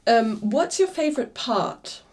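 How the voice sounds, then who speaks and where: A woman's voice: on the high side, lively intonation, a little slow, darkish tone. Frank woman host. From Germany. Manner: frank, direct questioning. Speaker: podcast host. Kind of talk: casual podcast interview.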